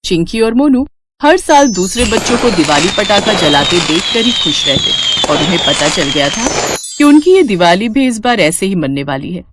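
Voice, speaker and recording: A woman's voice: mid-range voice, animated, normal speed, very noisy. A woman narrating a scripted moral story as a storyteller.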